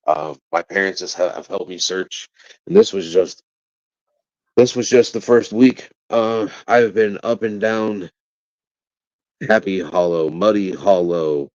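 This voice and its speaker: Deep-voiced man, halting then defiant. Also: no room sound, dull audio. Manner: halting and rambling, then rising to defiant, forceful outbursts. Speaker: father of a missing child, interviewee. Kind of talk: interview answers.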